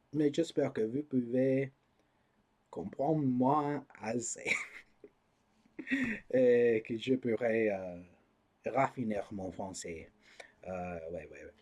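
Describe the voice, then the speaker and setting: A man reading news aloud in French as a non-native speaker, adding halting commentary with many fillers and frequent mocking laughter. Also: medium pitch, lively intonation, darkish tone, breathy edge, muffled recording. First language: not French. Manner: laughs often, swears mockingly at figures in the news.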